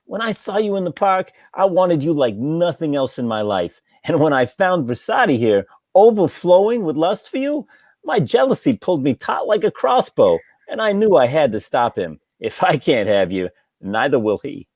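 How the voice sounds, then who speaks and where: A woman's voice: deep voice, very animated, moderate pace, darkish tone, no echo, exaggerated voice. A woman reading the part of a jealous, lovesick, talkative male barber in a stage comedy table read. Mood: jealous, intense.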